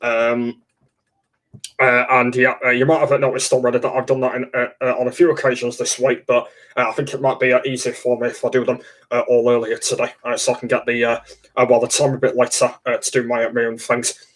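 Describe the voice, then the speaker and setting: A young man talks to his webcam from a dark room at home. His voice stays flat and even in pitch, and his speech halts on constant fillers and restarts. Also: brisk. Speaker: livestream host. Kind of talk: casual livestream chat.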